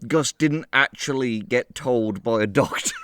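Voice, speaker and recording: A man's voice: medium pitch, a little slow, fairly bright tone, slight background noise. A man co-hosting a casual comedy podcast, joking and often laughing as he talks. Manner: jokey, laughs while talking. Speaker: co-host.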